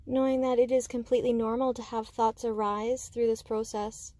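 A woman guiding a meditation with slow, soothing instruction, speaking gently and unhurriedly in short phrases with pauses. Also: fairly high pitch, little pitch movement, no room sound. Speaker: meditation guide.

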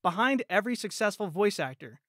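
A man with a fairly high voice chats casually as a podcast guest. His talk is informal and full of "like" and "you know", and the sound is close and dry. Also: very animated, brisk, fairly bright tone, no room sound.

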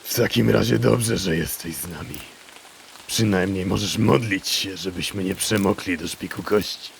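A man playing a kindly, dryly teasing travelling companion in a fantasy audio drama, with a gravelly voice. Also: deep voice, moderate pace, slight background noise.

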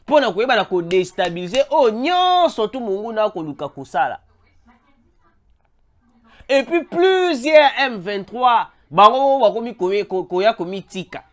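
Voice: medium pitch, unhurried. Congolese commentator with a head cold. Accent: Congolese French. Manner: animated, repeatedly calls out to viewers, mixes in Lingala. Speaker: online news commentator. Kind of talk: emphatic news commentary to viewers. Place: voice-over over a still image.

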